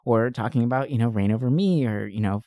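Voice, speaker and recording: A man's voice: medium pitch, fast, mellow, no room sound, clean studio sound. A man hosting a podcast, chatting casually and informally about entertainment, with loose, slangy phrasing. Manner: informal, frequent "like" and "you know", occasional swearing. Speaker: podcast host. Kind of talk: casual podcast chat about movies and games.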